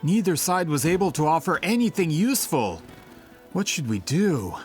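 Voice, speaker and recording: Earnest story collector, courteous, rather high lively voice, anime game, music behind. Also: male voice, normal speed. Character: polite, earnest young male story collector. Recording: background music under the voice.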